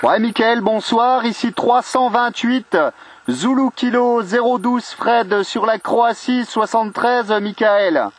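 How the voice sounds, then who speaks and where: A man's voice: extremely high pitch, fairly even intonation, slow pace, a little hiss or hum. A man chatting casually as an amateur radio operator, trading call signs and friendly remarks.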